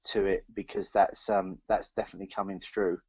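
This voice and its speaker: A man co-hosting a podcast in casual review chat, haltingly repeating words as he thinks aloud. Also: sits low, level tone, darkish tone, husky. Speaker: podcast co-host. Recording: dull, slightly lo-fi voice recording.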